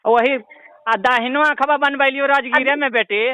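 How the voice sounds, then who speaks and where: A man's voice: very high voice, fairly even intonation, normal speed, sharp tone, clean tone, no room sound, muffled recording. A man voicing a whiny, ailing old villager with a Bihari accent, pleading and rambling in a comic prank call. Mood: plaintive. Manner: pleading, rambling, repeatedly addressing the listener as daughter. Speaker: prank caller.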